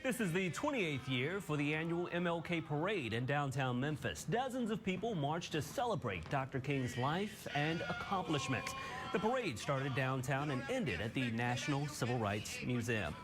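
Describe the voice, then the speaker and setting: A man's voice: on the high side, lively intonation, normal speed, music in the background. An American man anchoring morning television news, reading scripted stories in a studio.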